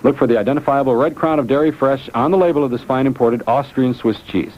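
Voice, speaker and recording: A man's voice: mid-range voice, fast. Joking male AM radio host, dull lo-fi sound. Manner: joking, wisecracking. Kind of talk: casual banter with callers and live ad reads. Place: radio studio. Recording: dull lo-fi AM radio recording, faint noise.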